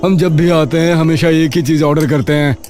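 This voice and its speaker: A man's voice: a little high, fairly even intonation, a little fast, darkish tone, soft background music, lightly acted. A man voicing a frightened young man in a cartoon who stammers in fear. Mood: irritated.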